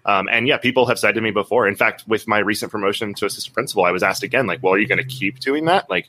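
A man's voice: fairly low pitch, racing, pushed and bright, slight background noise. A man talking candidly in a casual podcast conversation as a host, acting out quotes as he tells anecdotes. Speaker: podcast host and school administrator.

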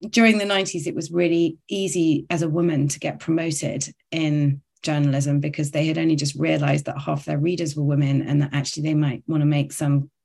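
Gravelly-voiced woman in her fifties. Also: sits low, little pitch movement, brisk, hardly any pauses, darkish tone. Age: 50s. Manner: reflective, chatty storytelling. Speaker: guest, journalist. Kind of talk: conversational interview.

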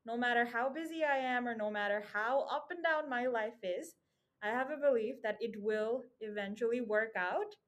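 A woman's voice: on the high side, moderate pace. A young woman from Nepal hosting a student podcast in a casual, upbeat and friendly way. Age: young adult. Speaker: podcast host.

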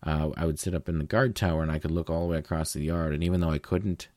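Very deep-voiced man telling a story. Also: steady tone, quick pace, a little mellow, dry recording, studio quality. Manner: rambling, with fillers. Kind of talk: casual storytelling conversation.

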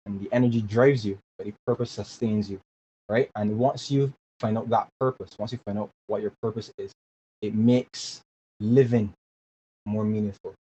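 A man's voice: on the low side, fairly even intonation, normal speed, mellow. Guy in his 20s, earnest, keeps repeating words, muffled remote call with faint hiss. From Barbados. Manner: earnest, repeats words while searching for phrasing. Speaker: guest. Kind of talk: casual interview talk.

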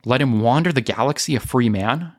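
Guy playing a stern rebel leader lady, formal n measured, audio drama, dry recording. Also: mid-range voice, dry recording, natural voice. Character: composed, principled, firm female rebel leader. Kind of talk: audio drama character reading.

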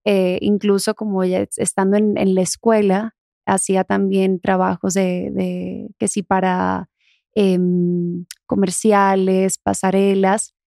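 A young adult woman from the Dominican Republic with a softened Dominican accent, talking casually as an interview guest. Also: medium pitch, little pitch movement, slow, vocal fry, dry recording, studio quality. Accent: Dominican, softened toward neutral Spanish.

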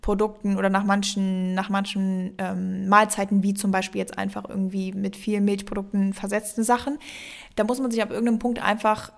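A woman's voice: mid-range voice, little pitch movement, a little fast, vocal fry. Chatty young woman, rambling podcast talk. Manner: rambling, many fillers, drops English words into German. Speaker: podcast co-host. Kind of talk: casual podcast chat.

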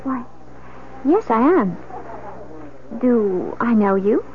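High-voiced, uneasy young wife. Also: female voice, very animated, very slow, background noise, exaggerated voice. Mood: wary, startled. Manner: hesitant, wary. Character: uneasy newlywed wife, wary of strangers. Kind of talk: 1970s radio mystery acting. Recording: tinny 1970s radio, music behind.

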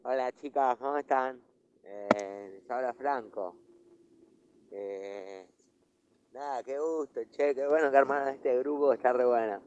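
A man with an Argentine accent playing an amiable, hesitant middle-aged family man who rambles haltingly. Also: mid-range voice, a little mellow, natural voice. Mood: friendly rambling. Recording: dull, noisy voice message from vehicle.

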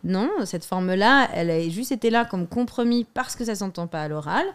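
A woman's voice: medium pitch, animated, normal speed, clear, resonant tone. A young adult woman, a stand-up comedian, arguing her point insistently in a debate, with many fillers and restarts. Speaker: guest comedian. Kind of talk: heated debate.

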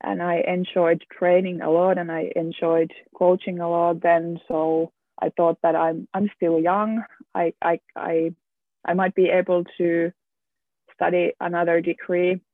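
A man's voice: sits high, level tone, a little slow, no room sound. A young man talking about himself in a casual round-table chat, hesitant, restarting and repeating words often. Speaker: student guest. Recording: tinny phone-like line, dry.

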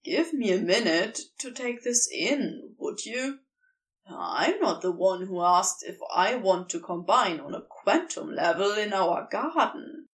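Woman reading as male demon, audio story, wry, teasing, deliberate, slow, guarded with hidden tenderness. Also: medium pitch, expressive, distant mic, voice pushed down, lightly acted. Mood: startled, wry.

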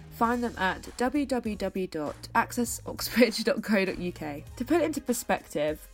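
Young woman with a cold. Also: medium pitch. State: blocked up with a cold. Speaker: podcast host. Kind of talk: podcast outro.

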